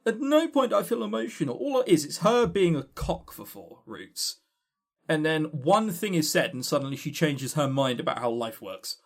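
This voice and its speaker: A man talks casually as a podcast co-host with strongly varied intonation. He is blunt, scornful and quick to swear, stammering as he drives his opinions home. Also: fairly high pitch, big pitch swings. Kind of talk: casual opinionated game review chat.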